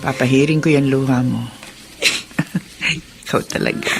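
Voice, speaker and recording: A woman's voice: a bass voice, fairly even intonation, measured pace, gravelly, heavily acted. An actress playing a tender, soothing middle-aged mother in a Filipino radio drama. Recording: music bed under the voice.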